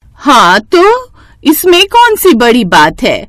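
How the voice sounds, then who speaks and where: Lady playing a pushy older village auntie, high voice, swoops around, very brisk. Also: huge pitch swings, a bit bright, dry recording, studio quality, exaggerated voice. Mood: amused, persuasive. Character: meddling older village aunt, brisk and persuasive.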